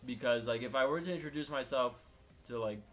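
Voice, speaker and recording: A man's voice: medium pitch, brisk, a bit bright. A young man from Miami chatting casually as a co-host, rambling with lots of filler words and restarts. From Miami, United States. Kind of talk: casual chat.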